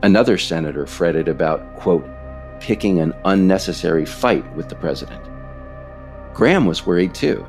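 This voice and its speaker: A man narrates a scripted political account in a very low voice with a creaky edge. His delivery is deliberate and lively in intonation, pausing for emphasis, over a faint bed of music. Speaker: author and podcast narrator.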